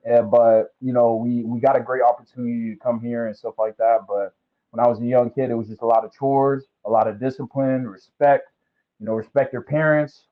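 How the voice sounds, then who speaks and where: Brief: young man from American Samoa, relaxed and easygoing, on a tinny phone-like remote line. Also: medium pitch. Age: young adult. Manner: laid-back, many "you know" fillers. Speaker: football recruit guest. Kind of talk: casual interview.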